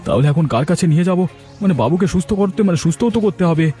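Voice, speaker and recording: An earnest, resolute village man acted by a man who champions the poor in a cartoon. His voice sits a little high and is emphatic, over faint music. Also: lively intonation, fast, mellow. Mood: worried. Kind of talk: cartoon dialogue.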